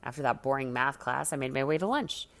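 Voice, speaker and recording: A woman reading a first-person teenage story aloud from a script, with dramatic, expressive delivery. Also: a bass voice, quick pace. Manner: dramatic, acts out the dialogue. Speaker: story reader.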